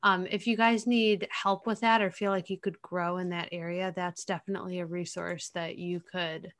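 A woman's voice: lowish voice, little pitch movement, moderate pace, a bit bright. A woman co-hosting a professional podcast, chatting enthusiastically and recommending resources to listeners. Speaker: podcast co-host, speech-language pathologist. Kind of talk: casual professional podcast chat.

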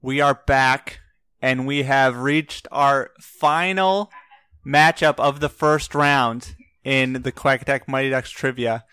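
A man's voice: mid-range voice, slow, sharp tone, smooth, dry recording. A man hosting a casual trivia podcast, upbeat and joking as he questions callers. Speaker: podcast host.

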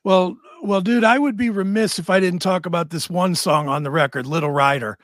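A man with a fairly high voice, recorded dry and close with no room sound. He hosts in a casual, informal way, winding through long questions and pausing for emphasis. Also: a bit bright, dry recording. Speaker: interview host. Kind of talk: casual interview chat.

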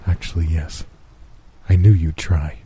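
A man voicing Death, a calm and ominous grim reaper who speaks slowly and solemnly. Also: extremely low pitch, a little hiss or hum, voice pushed down.

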